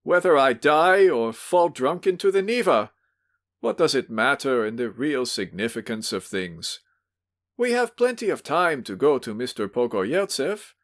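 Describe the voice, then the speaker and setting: A man voicing a drunk, sentimental, fawning middle-aged gentleman in a storybook reading, pleading and effusive. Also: medium pitch, huge pitch swings, unhurried, voice pushed up.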